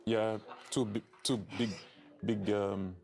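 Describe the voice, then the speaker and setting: A man speaking as a football pundit in a studio, halting and stumbling over his words. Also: on the low side, flat delivery, a little mellow. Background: music.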